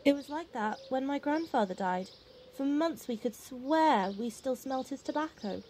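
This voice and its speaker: A woman's voice: high voice, animated, moderate pace, dark tone, a little hiss or hum. A woman in an audio play acting an outspoken, exasperated old flame, forthright and sardonic. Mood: sympathetic.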